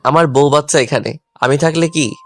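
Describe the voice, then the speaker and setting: A man's voice: on the high side, normal speed. A man playing a hurt, angry, stubborn young husband in a dramatised audio love story. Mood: curt, defiant. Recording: music under the voice.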